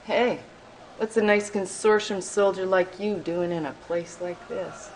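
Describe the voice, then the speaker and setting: A woman's voice: mid-range voice, steady tone, darkish tone, close to an everyday voice, rough recording. An actress playing a tough, bitter female resistance soldier, sardonic and menacing, in a video game cutscene. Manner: sardonic, menacing drawn-out delivery.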